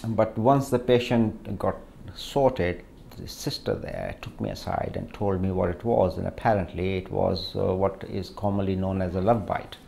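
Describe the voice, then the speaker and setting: A middle-aged man from Pakistan, a surgeon speaking hesitantly and reflectively with many pauses in a documentary interview. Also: low voice, animated, gravelly, warm tone, a little hiss or hum. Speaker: hand surgeon.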